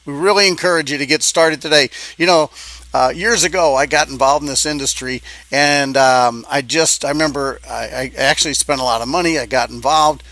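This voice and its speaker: Man, business coach, informal sales pitch, forceful bright tone, conversational and halting, dry sound. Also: steady tone, dry recording. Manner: halting, conversational, checking in with "okay?".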